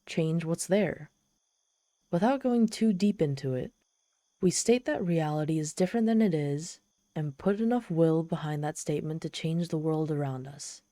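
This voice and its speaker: A woman's voice: sits low, animated, moderate pace, dark tone, dry recording. A woman playing a proud, desperate, socially awkward trapped demon in a scripted ASMR roleplay, speaking haughtily and directly to the listener. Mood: patient, explaining.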